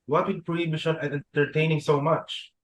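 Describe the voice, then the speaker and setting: A man's voice: on the high side, level tone, a little fast, lightly acted. A young man playing a formal butler. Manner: formal and stiff. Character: dutiful male butler, formal and deferential. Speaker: student actor. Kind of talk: amateur dramatic reading.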